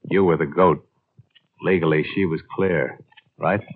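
An actor playing a shrewd, hard-boiled insurance investigator in a clipped, matter-of-fact way in a 1950s radio drama. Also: male voice, low voice, fairly even intonation, measured pace. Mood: probing. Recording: muffled, band-limited old radio recording.

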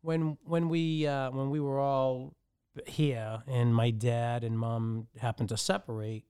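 A middle-aged man from the Dominican Republic tells his life story as a podcast guest. His voice is smooth and clean, and the recording is dry with no room sound. He pauses and restarts often as he works through his memories. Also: medium pitch, level tone, warm tone, no room sound. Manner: halting, with frequent restarts and fillers. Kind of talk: personal storytelling.

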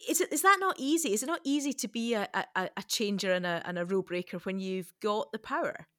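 A woman's voice: mid-range voice, fast, sharp tone, dry recording, clean studio sound. A woman hosting a conversational discussion in a thoughtful, tentative manner that seeks agreement. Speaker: podcast host.

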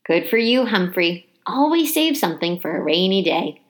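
Storybook night janitor, man voiced by a woman: friendly, jovial, exclamatory, very lively intonation. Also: on the low side, normal speed. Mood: warm, playful. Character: friendly, jolly male night janitor, chatty and playful.

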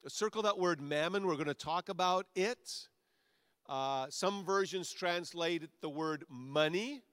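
A man's voice: a little high, a bit bright, dry recording, clean studio sound. A male pastor preaching a sermon in a conversational way that builds to emphatic peaks.

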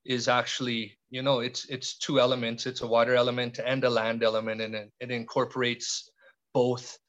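A middle-aged man from Canada, a podcast guest speaking in a reflective, halting way with frequent restarts and fillers. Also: medium pitch, little pitch movement, a bit bright, highs cut off. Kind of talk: reflective conversational interview.

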